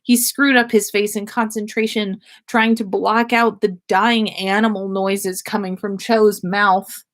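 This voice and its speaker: A woman with a middle-pitched voice reads a story aloud for a livestream. Her pace is unhurried, and she stumbles now and then while giving the dialogue some drama. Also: level tone. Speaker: podcast host reading listener fan fiction.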